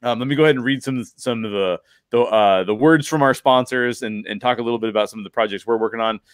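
A man's voice: mid-range voice, quick pace, clean tone, no echo. Man hosting a podcast, close-miked. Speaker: podcast host. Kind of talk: casual film discussion. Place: small bedroom, indoors. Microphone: close podcast microphone with foam cover.

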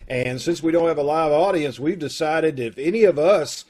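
Middle-aged guy hosting a video call, fairly high voice, casual intros. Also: moderate pace, a little mellow. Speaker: host of an amateur radio-drama troupe. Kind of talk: casual chat, introducing cast and show. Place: indoor room at home, video call. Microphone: video call microphone.

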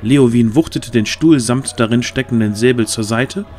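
A man's voice: mid-range voice, normal speed, darkish tone, faint music behind. A man reading scripted, dramatic fantasy narration as the narrator of an audio drama.

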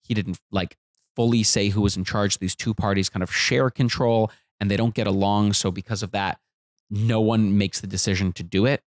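A man in his thirties, a comedian guest, telling animated jokey stories on a podcast couch into a close boom mic. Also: moderate pace, no echo. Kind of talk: casual storytelling chat. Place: living room studio with couch.

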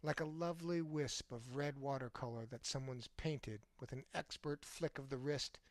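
A man giving a quiet, measured dramatic reading of a short story. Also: medium pitch, dry recording. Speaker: actor, story reader.

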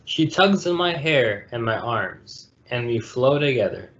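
A man's voice: mid-range voice, lively intonation, measured pace, a bit bright. A man hosting a storytime, reading a children's picture book aloud over a video call. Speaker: storytime reader and host. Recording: dull lo-fi video call audio.

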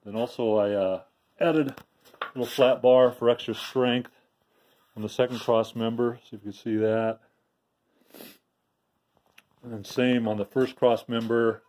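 A man giving a casual, unscripted walkthrough of a home garage project, plain and unhurried with frequent restarts and fillers. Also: mid-range voice, little pitch movement, a little mellow. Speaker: DIY video maker. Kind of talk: casual show-and-tell walkthrough. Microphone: handheld phone camera, speaker off screen.

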